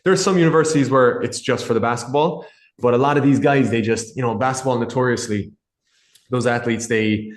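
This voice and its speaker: A man's voice: mid-range voice, a little fast. Irish-American man in an echoey room. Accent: Irish with some American. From Ireland. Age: 30s. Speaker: podcast guest, sports nutritionist. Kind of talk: casual podcast conversation.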